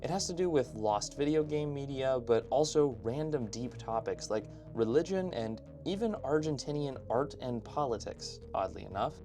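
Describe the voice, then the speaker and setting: A man narrates an online video essay in a medium-pitched voice with clear background music underneath. He speaks as an opinionated host, keeping the scripted commentary informal and conversational with frequent asides. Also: expressive, normal speed, hardly any pauses.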